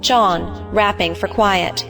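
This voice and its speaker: Woman, scripted play narration, mid-pitched, slow, measured, matter-of-fact, music in background. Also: fairly even intonation, gravelly. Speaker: narrator reading stage directions.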